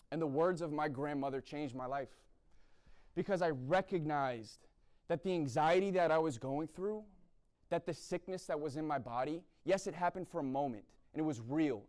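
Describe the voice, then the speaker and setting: Young man from the East Coast, blunt preacher, slightly high voice, peppers his talk with "right?". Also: a little mellow. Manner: blunt, repeats "right?" often. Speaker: speaker at a university chapel event. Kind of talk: sermon-style talk.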